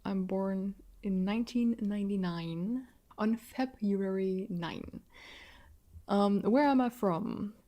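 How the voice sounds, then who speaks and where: A woman's voice: mid-range voice, slow, mellow. Woman, early 20s, German accent, English not her first language. Chatty, self-deprecating solo podcast host. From southwest Germany. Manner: chatty and self-deprecating, laughs often between sentences. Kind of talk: casual solo podcast talk.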